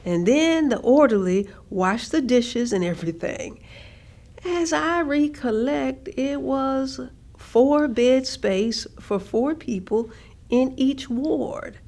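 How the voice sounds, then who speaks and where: An actress playing an older woman, recalling hard working years in a measured, reflective staged reading. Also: high-pitched, lively intonation, warm tone, full character voice. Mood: matter-of-fact recollection. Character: older woman, former hospital worker, plainly recalling segregation-era hardship. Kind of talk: staged reading of oral history.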